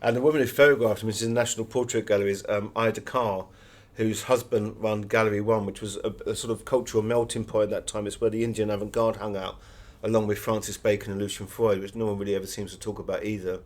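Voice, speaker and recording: A man's voice: lowish voice, little pitch movement, quick pace. A man speaking as a guest author in an interview, explaining things in a discursive, anecdotal way. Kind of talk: conversational interview, telling anecdotes.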